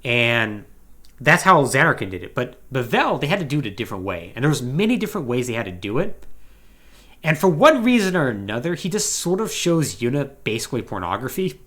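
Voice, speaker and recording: Animated man recapping a story. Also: mid-range voice, brisk. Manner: animated, conversational, acting out paraphrased dialogue. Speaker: podcast host.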